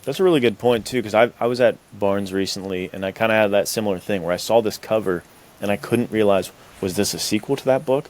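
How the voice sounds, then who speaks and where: A man with a fairly low voice hosts a podcast in casual conversation. His talk is laid-back and slangy, full of like and dude, as if chatting with friends. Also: fast talker, darkish tone. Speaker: podcast host.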